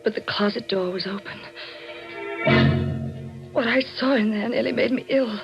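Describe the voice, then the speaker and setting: Anxious wife, muffled old radio. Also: female voice, a little high, moderate pace, vocal fry, soft background music. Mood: fearful, suspenseful. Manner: earnest, tense, dramatic. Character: anxious, determined wife suspecting her husband of murder. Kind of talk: 1940s radio mystery acting, first-person dramatic narration.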